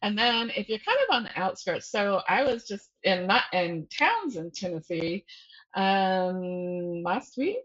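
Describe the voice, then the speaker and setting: A woman talks in a relaxed, conversational interview style at a middling pitch. She thinks aloud, interrupting and rephrasing herself as she explains. The recording is a little dull and limited in its frequency range. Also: unhurried. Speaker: guest novelist. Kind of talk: casual podcast interview.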